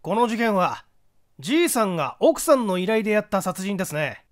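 A man's voice: high-pitched, very animated, a little fast. A man playing a hasty, confident newspaper reporter in a mystery story, eagerly and emphatically laying out his deductions.

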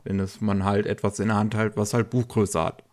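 A man co-hosting a podcast, talking casually and haltingly, slightly congested from a cold. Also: on the low side, level tone. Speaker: podcast co-host. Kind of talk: casual news podcast talk.

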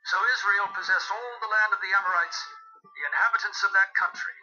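Ancient israelite warrior chief, super intense emphatic bible drama delivery, dull lo-fi sound. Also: male voice, high-pitched, steady tone, brisk, pushed and bright. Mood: indignant, forceful. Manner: declamatory, emphatic, archaic diction. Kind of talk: dramatized scripture reading. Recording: muffled lo-fi audio with music.

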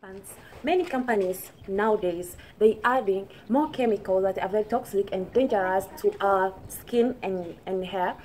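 A young woman from Tanzania with a Tanzanian accent, Swahili her first language, talking to camera about hair care in careful, halting English with many restarts. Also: mid-range voice, darkish tone, slight background noise, rough recording. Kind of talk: casual talk to camera. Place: indoors, plain plastered wall.

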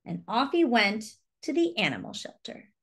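A woman reading a children's story aloud expressively, with deliberate phrasing and simple explanatory asides to young listeners. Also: a little high, very animated. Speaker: storybook reader and host.

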